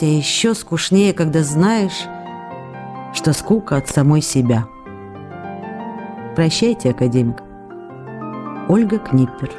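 A woman's voice: medium pitch, close to an everyday voice. A woman playing an affectionate young actress writing letters. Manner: playful, affectionate, wistful. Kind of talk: radio play letter reading. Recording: studio radio play with soft music.

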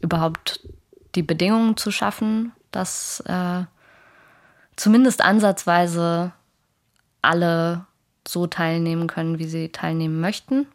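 A woman's voice: on the low side, flat delivery, unhurried. A woman speaking as an interviewee, reflecting tentatively and thinking aloud as she goes.

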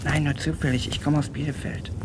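A man's voice: level tone, brisk, natural voice. Man playing a naive, rambling teenage boy, noisy recording. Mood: apologetic, flustered. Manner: rambling, apologetic, earnest. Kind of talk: German radio play dialogue. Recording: noisy, rumbling radio recording.

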